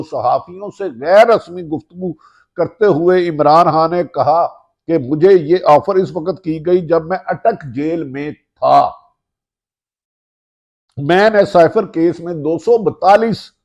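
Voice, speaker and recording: A male news host with a Pakistani accent and a somewhat high-pitched voice. He reports and comments on politics, stacking short phrases with emphasis. The recording is dull and lo-fi. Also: darkish tone. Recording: dull, muffled lo-fi audio.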